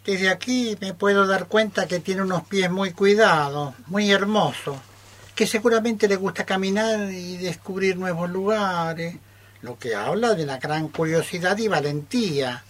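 A woman voicing a wise, kindly, unhurried mole in a children's radio play. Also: lowish voice. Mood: kindly, thoughtful.

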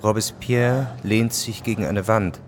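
A man narrating a historical radio drama in a measured, sober manner. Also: on the low side, steady tone, a little hiss or hum, close to an everyday voice. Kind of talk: scripted narration.

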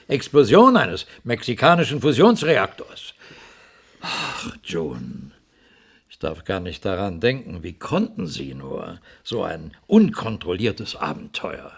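An actor playing a fussy, protective boss in a radio play, with a gravelly voice and theatrical concern. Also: male voice, lowish voice, full character voice. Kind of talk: German radio play acting.

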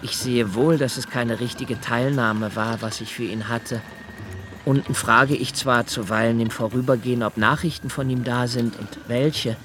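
An actor playing a brooding, solitary male lodger who muses precisely and haltingly in a literary radio play monologue. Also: medium pitch, steady tone, noisy background, close to an everyday voice. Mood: quiet, pensive. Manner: deliberate, precise, halting self-corrections. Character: brooding, obsessively observant solitary male lodger, musing alone.

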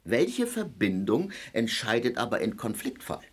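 An actor playing a legal theorist who lectures a circle of gentlemen, emphatic and insistent. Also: male voice, mid-range voice, sing-song, a little slow, husky. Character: lecturing jurist, cold and insistent. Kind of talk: radio play acting.

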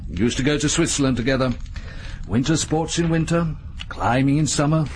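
An actor playing a blunt, hearty older army major in a BBC radio drama, speaking in short, clipped upper-class phrases. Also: male voice, a little high, normal speed, slight background noise, close to an everyday voice. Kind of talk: 1940s-style BBC radio acting.

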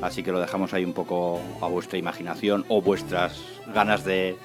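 A man's voice: deep voice, flat delivery, brisk. A man with an accent from Spain, co-hosting and reading on a radio show in a colloquial, jokey way. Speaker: radio show co-host and reader. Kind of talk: casual scripted-radio chat, then novel reading. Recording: music behind the voice. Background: music.

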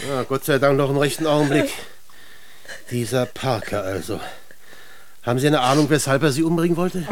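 An actor playing a considerate, authoritative police inspector, businesslike and reassuring, in a German radio drama. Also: male voice, medium pitch, animated, moderate pace, natural voice. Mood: measured sympathy.

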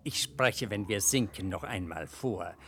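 A woman's voice: a bass voice, lively intonation, unhurried, slight background noise, heavily acted. A sinister, overly polite male stranger. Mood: sly, macabre. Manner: smooth, mocking courtesy, relishing grim details. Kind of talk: classic radio drama acting.

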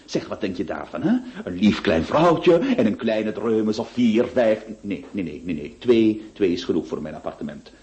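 Notary, theatrical, syrupy then menacing, very expressive pitch. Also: male voice, normal speed, few pauses. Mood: coaxing. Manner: theatrical, swinging from syrupy coaxing to gleeful threats. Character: scheming middle-aged male notary, wheedling yet menacing. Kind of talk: radio drama acting.

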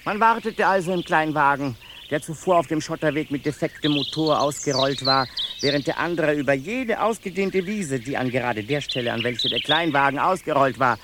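A man's voice: on the high side, moderate pace, close to an everyday voice. A man playing a brooding asylum patient. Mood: uneasy, brooding. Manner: long winding sentences, circling back on itself. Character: male asylum patient, anxious, brooding narrator. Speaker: patient narrating his story. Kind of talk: 1990s radio play narration. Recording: loud hiss on an old radio tape.

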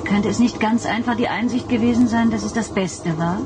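An actress playing a skeptical, probing pilot in a radio drama, with a pointed, ironic and questioning manner. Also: female voice, a little high, brisk, music in the background, close to an everyday voice. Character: skeptical, probing female pilot, former partisan. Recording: loud vehicle noise behind.

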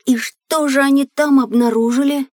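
A woman voicing a curious, eager and naive young schoolboy in an educational audio drama, with an inquisitive, expressive manner. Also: sits high, normal speed, noisy background, lightly acted. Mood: curious, puzzled.

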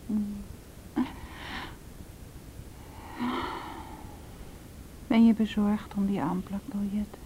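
An actress as a hushed, halting Dutch woman. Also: level tone, lightly acted. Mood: uneasy. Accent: Netherlands Dutch. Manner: halting, searching for words. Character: hesitant, introspective, uneasy female activist in hiding. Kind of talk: intimate radio drama acting. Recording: noisy radio drama recording, low rumble.

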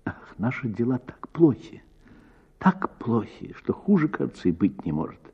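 A man's voice: mid-range voice, sing-song, moderate pace, vocal fry, husky, exaggerated voice. A man voicing an anxious, emotional woman over thirty in a radio story, plaintive and agitated. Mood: anxious despair. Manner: plaintive, agitated, emphatic repetition. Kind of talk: acted dialogue in radio story reading. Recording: narrow-band, phone-like sound.

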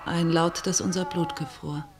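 An actress playing a cautious, fearful sister who recounts wartime terror in declamatory rhymed verse for a radio drama. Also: female voice, fairly low pitch, fairly even intonation, moderate pace, noisy background, lightly acted. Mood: grave narration. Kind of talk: theatrical verse radio drama, narrating and in dialogue.

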